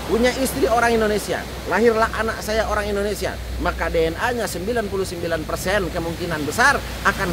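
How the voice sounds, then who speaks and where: A man preaching a sermon to a congregation. He speaks earnestly, rising louder and higher on key words and then dropping low. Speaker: Islamic preacher. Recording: loud steady background noise.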